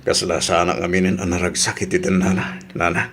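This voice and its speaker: An actor with a Filipino accent playing a remorseful, aggrieved husband in a radio drama, pleading and emotive. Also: male voice, low voice, flat delivery, fairly quick, fairly bright tone, breathy edge, heavily acted. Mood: dejected, aggrieved.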